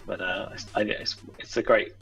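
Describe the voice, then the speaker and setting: A man's voice: lowish voice, fairly even intonation, normal speed, vocal fry, a bit bright. A man chatting casually as an interview guest, a game developer who talks in a hesitant, self-effacing, rambling way. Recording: distant mic with music behind. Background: music.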